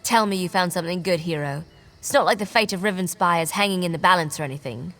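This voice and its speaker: A woman's voice: on the low side, fast talker, a bit bright, lightly acted. A woman voicing a wry warrior woman. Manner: dry, sarcastic. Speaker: game character.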